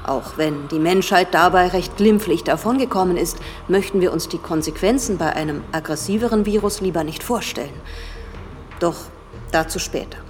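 An actress playing an authoritative female professor, lecturing gravely and formally in a German radio drama. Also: lowish voice, normal speed, slight background noise, natural voice. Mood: grave, measured.

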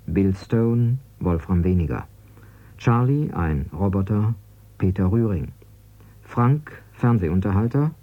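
Male announcer, deliberate formal credits, low mellow tone, narrow tinny sound. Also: breathy edge. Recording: thin, narrow-band radio broadcast recording.

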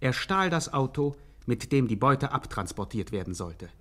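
A man's voice: mid-range voice, very animated, moderate pace, very noisy, natural voice. A man playing a formal, mock-factual narrator in a satirical 1950s radio crime chronicle.

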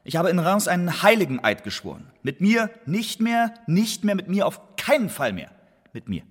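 Stubborn hot-tempered ex-informant, husky, swingy pitch, emphatic and halting, radio drama in a big echoey hall. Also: male voice, big pitch swings, exaggerated voice. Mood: defiant. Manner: emphatic, halting, repeats himself. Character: defiant, hot-tempered male playwright and reluctant former informant. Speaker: actor in a radio play. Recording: long hall reverb.

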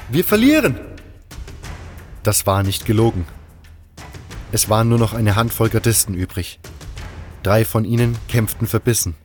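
Low-pitched male audiobook narrator with music. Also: a little mellow. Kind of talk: scripted first-person fantasy audio drama narration.

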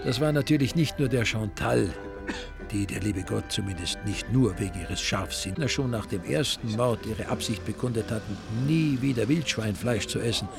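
A man's voice: lowish voice, normal speed, gravelly. A man with an Austrian accent narrating a scripted radio crime drama in a dry, wry way. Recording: music behind the narration.

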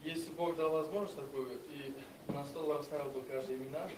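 A man's voice: a little high, steady tone, brisk, noisy background. A man in a church meeting asking a question, hesitant and searching for words. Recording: echoey room with crowd, mic far off.

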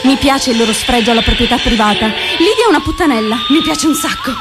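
A woman's voice: high voice, big pitch swings, fast. A young actress playing a manic, brazen and absurd young woman, declaiming theatrically on stage. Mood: deadpan. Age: young adult. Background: loud music.

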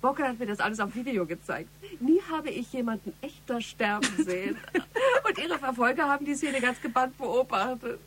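A woman's voice: on the high side, very animated, moderate pace, a bit bright, slight background noise. An actress playing a shrewd, self-possessed female police investigator in a German radio crime drama. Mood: amused, admiring. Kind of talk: German radio play dialogue.

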